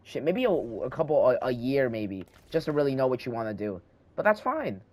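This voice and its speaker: A man's voice: mid-range voice, very animated, brisk. A teenage boy with a slight lisp, rambling and thinking aloud while he plays a video game at home, talking into a handheld microphone. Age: teens. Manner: meandering, thinking aloud, frequent restarts. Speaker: gaming channel host. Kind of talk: rambling casual talk over gameplay.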